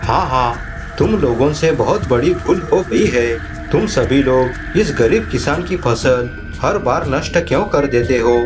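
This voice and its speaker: A man's voice: mid-range voice, expressive, measured pace, close to an everyday voice. Guy doing a kind bossy vulture king for a cartoon, earnest n formal, music going behind. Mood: stern. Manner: earnest, scolding and declaiming in formal speech. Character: kind, commanding vulture king on a helpful quest. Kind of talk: cartoon moral story voice acting.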